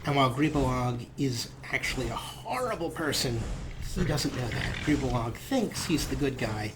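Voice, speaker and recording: A man with a mid-pitched voice narrates his let's play as host. His delivery is wry, slipping between joking asides and role-played dialogue. Game ambience is clearly audible behind him. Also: lively intonation, moderate pace, noisy background. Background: video game ambience.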